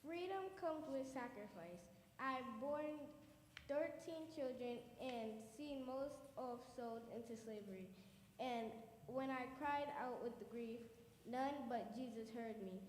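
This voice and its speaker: A woman's voice: a little high, takes it slowly, a little mellow. A young woman in a church skit playing a determined formerly enslaved abolitionist woman with an earnest, testifying delivery. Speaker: youth performer in a church skit. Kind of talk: stage acting in a church program. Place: church hall. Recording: echoey hall with long reverb, faint noise.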